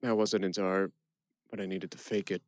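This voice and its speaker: A man playing a quick-witted prisoner who narrates his own tale in a first-person audio play. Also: low-pitched, little pitch movement, dry recording, clean studio sound, natural voice. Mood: reflective.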